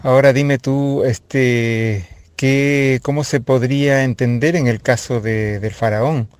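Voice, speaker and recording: A man's voice: medium pitch, fairly even intonation, slow pace, clear, resonant tone, no echo. A man asking a hesitant question about scripture.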